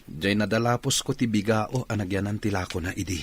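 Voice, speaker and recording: Radio drama role for a male actor: proud young man down on his luck, low register, rough-edged tone. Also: fairly quick. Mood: downcast. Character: proud young man from a bankrupt hotel family, job-hunting. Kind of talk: Ilocano radio drama acting.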